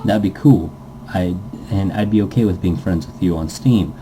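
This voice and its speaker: Guy reading a game out loud, lowish soft dark voice, kinda rambly, some background noise. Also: moderate pace, poor audio. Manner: rambling, easily sidetracked. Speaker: let's player reading a visual novel aloud. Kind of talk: casual gaming commentary, reading game text aloud.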